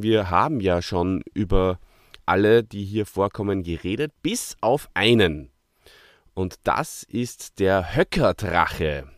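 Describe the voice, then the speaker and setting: A man hosts a casual review podcast. His voice sits fairly low and swings expressively in pitch. The sound is close and dry. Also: dry recording. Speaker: podcast host.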